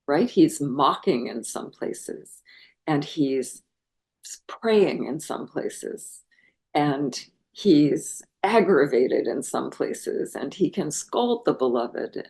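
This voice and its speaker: A middle-aged American woman, a scholar and translator, talking earnestly and thoughtfully in an interview, musing with pauses. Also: deep voice, a little mellow. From United States. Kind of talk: reflective interview talk.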